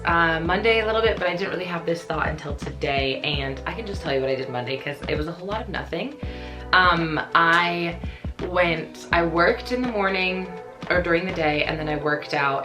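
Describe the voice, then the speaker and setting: Low-pitched raspy young woman, hoarse from a cold, rambling vlog chat at home, music playing behind. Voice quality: deep raspy voice. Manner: chatty, rambling. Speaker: vlogger.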